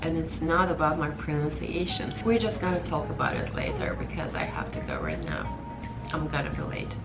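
A woman's voice: fairly low pitch, roomy sound. A woman in her twenties, hosting her vlog in a frank, slightly nervous, chatty way from her kitchen. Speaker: vlogger host. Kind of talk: vlog monologue and interview. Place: indoors in a kitchen.

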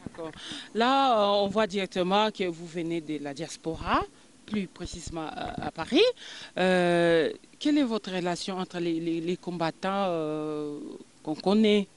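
A woman's voice: on the low side, animated, measured pace, slight background noise. A woman in her forties hosting an outdoor interview in a garden with a handheld mic, hesitant with many fillers and emphatic rising questions. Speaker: interviewer host.

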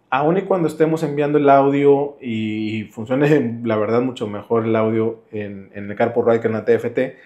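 A man's voice: medium pitch, a little mellow. A man with a Mexican accent presenting a casual step-by-step tutorial, speaking directly to the viewer in an instructional way. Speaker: motorcycle vlogger and presenter.

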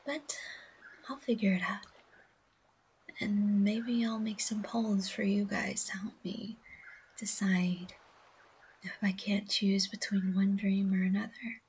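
A woman whispering a casual, rambling ASMR-style audio log, softly confiding in her listeners with a breathy voice. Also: mid-range voice, level tone, slow pace, long pauses, rough recording. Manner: soft, confiding, addressing listeners directly.

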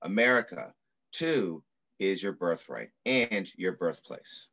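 A middle-aged man reading aloud in the style of a children's picture book, with measured, deliberate phrasing. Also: low voice, very animated, vocal fry, bright, forceful tone. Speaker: pediatrician reading a story aloud. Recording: muffled video call audio.

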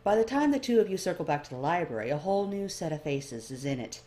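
A woman reading fan fiction aloud as expressive scripted story narration. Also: on the low side, fast talker. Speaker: amateur fan fiction reader.

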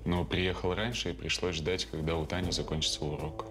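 An actor playing a gloomy PE teacher who answers an investigator hesitantly and slowly. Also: male voice, level tone. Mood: reluctant. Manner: hesitant, slow, low-key answers. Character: reluctant, gloomy male PE teacher, guarded. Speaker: PE teacher witness. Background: background music.

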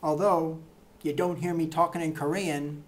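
A middle-aged man reading satirical excerpts to camera in a deadpan, mock-earnest way, in a plain indoor room. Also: on the high side, moderate pace, a little hiss or hum. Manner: mock-earnest, punchy, with gestures. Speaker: reader of satirical book excerpts.

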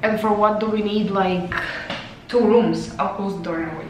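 A woman's voice: mid-range voice, steady tone, normal speed, background noise. A young woman, not a native English speaker, chatting casually to a handheld camera in a vlog, laughing often and losing her voice. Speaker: vlogger. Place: tiled hotel bathroom. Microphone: handheld camera at arm's length. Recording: echoey tiled room.

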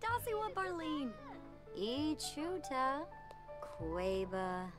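A woman's voice: high-pitched, sing-song, very slow, heavily acted. A woman voicing chatty life-sim characters who speak only in made-up gibberish, over game music. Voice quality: nonsense babble in a made-up game language. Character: Sims characters babbling in gibberish. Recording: game audio with music behind.

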